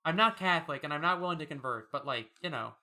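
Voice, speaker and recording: Bright, higher-pitched man chatting wryly. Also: animated, fast, soft background music. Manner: wry, jokey. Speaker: podcast host. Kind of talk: casual chat and reading listener mail.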